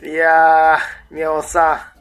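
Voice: mid-range voice, monotone, extremely slow, loud music behind the voice. Teasing, casual podcast co-host. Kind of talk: casual reactive chat.

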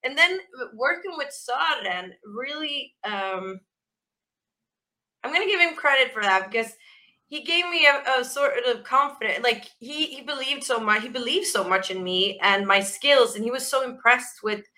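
Raspy, bright-voiced woman singer. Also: fairly high pitch, animated, normal speed. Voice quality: naturally raspy voice. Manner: chatty, laughing, many restarts and fillers. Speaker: rock band vocalist, guest. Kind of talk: casual interview chat.

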